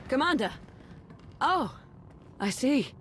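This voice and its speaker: An actress playing a grave, calculating adviser in a video game, formal and deliberate. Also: female voice, sits high, big pitch swings, fairly bright tone, slight background noise, heavily acted. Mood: shocked. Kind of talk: cinematic video game voice acting.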